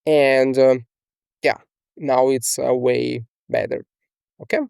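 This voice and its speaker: Italian-accented young man, gravelly voice. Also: mid-range voice, level tone, slow, a little mellow, dry recording, studio quality. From Italy. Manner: casual, repeats "okay?" often, many fillers. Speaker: coach. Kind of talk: screen-share tutorial. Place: small indoor room.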